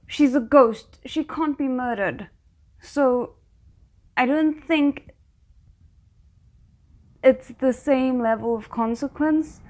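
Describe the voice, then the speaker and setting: Chatty woman reacting out loud. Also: fairly high pitch. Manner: chatty, animated, quick to react aloud. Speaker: reaction video host. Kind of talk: casual commentary while watching a series.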